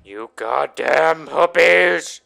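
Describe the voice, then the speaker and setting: A man's voice: a little high, very slow, creaky. A man giving rambling video game commentary, swearing freely and hamming it up theatrically. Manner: foul-mouthed, theatrical, breaks into mock shouts. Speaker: host of a video game playthrough.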